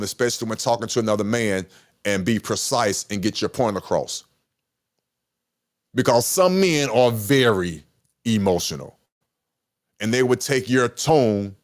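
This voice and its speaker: A middle-aged man with a deep voice hosting a podcast, talking casually with passion and emphatic repetition. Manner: passionate, emphatic, repeats himself. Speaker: podcast host. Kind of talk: casual monologue.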